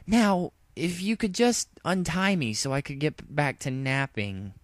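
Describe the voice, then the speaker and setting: A man's voice: on the high side, a little slow, no room sound, studio quality, pitched above the performer's own voice, lightly acted. A man voicing a brash young female pegasus pony with a casual, indignant manner in a storybook reading. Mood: pleading.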